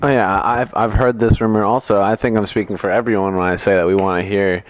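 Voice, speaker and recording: A man's voice: lowish voice, steady tone, quick pace. Joking male interviewer, faint noise. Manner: joking, mock-serious. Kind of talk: casual interviewing.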